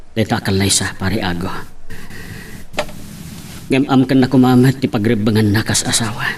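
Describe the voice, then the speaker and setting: A man's voice: medium pitch, a little hiss or hum, close to an everyday voice. A man playing a troubled young husband with an Ilocano accent, confiding earnestly in a drama. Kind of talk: Ilocano radio-style drama acting.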